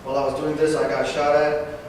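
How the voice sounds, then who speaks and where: A man in his twenties from Northern California, a veteran giving a personal talk, halting at times with fillers and self-corrections. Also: fairly high pitch, little pitch movement, darkish tone. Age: 20s. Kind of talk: personal speech read to an audience. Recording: distant, echoey hall recording with background noise.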